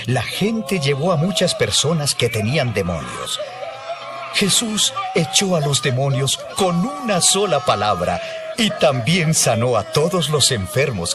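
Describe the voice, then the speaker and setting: A man narrating a dramatized Bible story as scripted narration, in a measured storytelling manner. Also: medium pitch.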